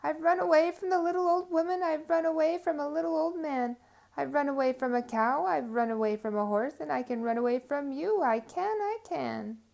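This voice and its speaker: Storybook gingerbread boy played by a woman: small, gleeful, cocky, high voice, sing-song taunting. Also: normal speed, vocal fry, darkish tone. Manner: sing-song boastful chanting.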